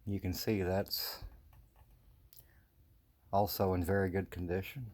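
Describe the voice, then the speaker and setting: Low-pitched American man with slightly slurred speech. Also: level tone, darkish tone. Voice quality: slurred, imprecise articulation from missing teeth. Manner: hesitant, halting, folksy. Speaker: vintage audio collector presenting his channel. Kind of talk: casual unscripted video chat.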